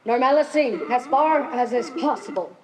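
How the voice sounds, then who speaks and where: A teenage girl in a school stage play, playing a brisk, controlling male Victorian doctor with a formal, instructing manner. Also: high voice, natural voice, rough recording. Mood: formal, stern.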